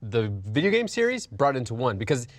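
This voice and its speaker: A man's voice: medium pitch, very animated, fairly quick, dry recording. A man talking as a panelist in an opinionated casual discussion, making his points emphatically in repeated lists. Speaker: talk show panelist.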